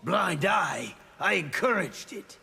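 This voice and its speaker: Haughty military villain in a fighting game, super gravelly, noisy background. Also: male voice, high voice, very animated, slow pace, heavily acted. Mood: defensive, scornful. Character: proud, ruthless army officer, hardened and contemptuous. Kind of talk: video game fight banter. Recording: game audio with clear background noise.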